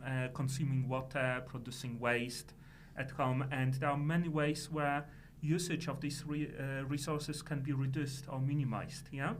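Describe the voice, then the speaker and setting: A middle-aged man from Poland with a Polish accent, answering interview questions as a sustainability manager in halting, measured phrases. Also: medium pitch, flat delivery, a little hiss or hum.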